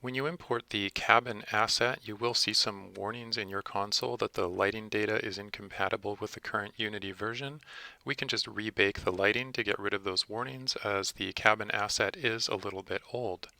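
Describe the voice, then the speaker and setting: A man narrating a software tutorial as its presenter, methodically explaining things step by step. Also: lowish voice, fairly even intonation, a little fast, creaky, no echo. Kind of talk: instructional screencast narration.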